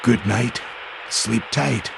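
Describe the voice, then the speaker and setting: A very slow, deep, gravelly horror host, speaking theatrically over clear noise. He is a sinister storyteller of an audio anthology. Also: heavily acted. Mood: ominous, gloating. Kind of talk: theatrical scripted horror outro. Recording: clearly noisy background.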